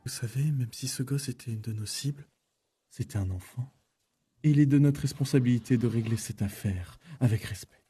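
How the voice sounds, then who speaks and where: Man playing animated, mocking cartoon demon boss. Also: fairly high pitch, a little fast, natural voice. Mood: grandiose. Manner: mocking, theatrical, foul-mouthed. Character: crude, flamboyant demon boss of a killing company.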